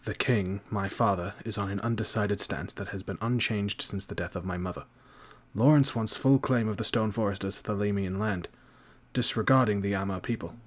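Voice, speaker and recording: A man playing a serious young prince who speaks gravely and earnestly. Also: fairly low pitch, fairly even intonation, normal speed, natural voice.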